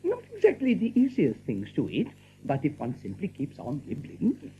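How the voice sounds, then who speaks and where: Swooping, genteel host rabbit. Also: male voice, a little high, wildly swooping pitch, normal speed, faint music behind. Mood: genteel, evasive. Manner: genteel, fussy, over-polite. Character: effusively polite, genteel host rabbit, smoothly evasive. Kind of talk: audio drama acting. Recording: muffled, faintly hissy old audiobook recording.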